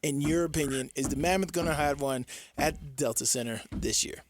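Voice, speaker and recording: A man's voice: fairly high pitch, moderate pace. A man filling in as a sports radio host, bantering casually. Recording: clearly noisy background.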